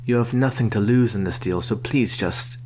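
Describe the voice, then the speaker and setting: A man playing a sly, menacing vampire in an ASMR roleplay, speaking in a soft, breathy half-whisper with slow, formal phrasing. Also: on the low side, close to an everyday voice. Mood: coaxing. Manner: slow, deliberate, formal wording. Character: predatory male vampire, sly, coaxing and quietly menacing. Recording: clear background hum and noise.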